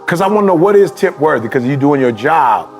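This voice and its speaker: Male podcast co-host, gravelly, teasing and preachy, long room reverb. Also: fairly high pitch, expressive, brisk, a little mellow, soft background music. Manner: joking, teasing, slips into preachy talk. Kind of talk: casual chat.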